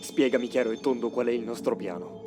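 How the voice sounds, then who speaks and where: A man's voice: mid-range voice, steady tone, fast talker, lightly acted. A man voicing an earnest, incredulous young man in a motion comic dub, emotional and questioning. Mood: tense. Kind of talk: dramatic motion comic dubbing. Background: faint music.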